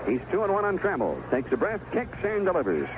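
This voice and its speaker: An American man calling baseball play-by-play on the radio, in clipped phrases with unhurried pauses. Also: high voice, huge pitch swings. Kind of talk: live baseball radio commentary. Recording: tinny, noisy 1970s AM radio broadcast.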